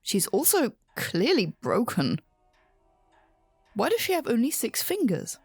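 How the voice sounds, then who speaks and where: A woman's voice: fairly high pitch, wildly swooping pitch, a little slow, husky, dry recording, soft background music, heavily acted. A woman playing a strained, apologetic but firm stepmother in a horror radio drama. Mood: embarrassed.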